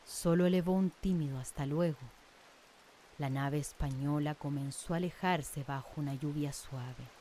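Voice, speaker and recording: Low-pitched woman narrating a radio drama. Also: dark tone, slight background noise. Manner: measured, storytelling.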